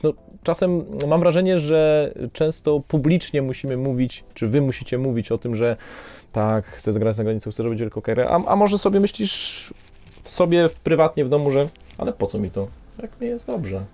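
Man, 30s, host, reflective, talkative, dark timbre, small studio. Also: mid-range voice, normal speed, soft background music. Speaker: podcast host. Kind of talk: casual interview chat. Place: small studio with brick wall.

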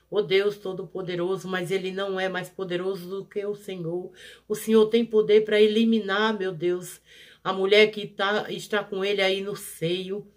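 Fervent healing prayer voice. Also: medium pitch. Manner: pleading, rising loud on pleas and falling soft on each "Jesus"; rhythmic, repetitive invocations. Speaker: prayer leader.

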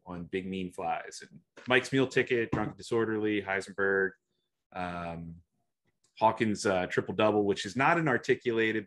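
A man's voice: low voice, a bit bright. A man giving an online slide presentation over a video call, talking hesitantly with many fillers and restarts. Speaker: guest presenter.

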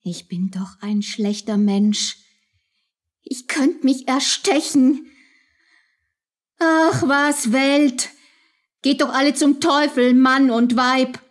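A poor young mother in a radio play, with a fairly high voice. She takes her time over words, wistful and vain, yet weighed down by guilt. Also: slow, pauses often. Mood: bitter remorse. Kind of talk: radio drama acting.

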